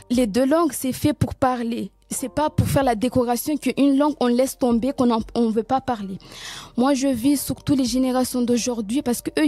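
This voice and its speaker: Earnest young student, dark-toned. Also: female voice, on the high side, no echo. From Mayotte. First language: Kibushi. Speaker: student presenter. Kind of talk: school podcast presentation.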